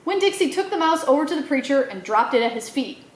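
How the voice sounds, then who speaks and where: A woman reading a children's novel aloud in an expressive storytelling style, acting out the dialogue as she goes. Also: sits high, fast talker, reverberant. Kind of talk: animated read-aloud narration for children.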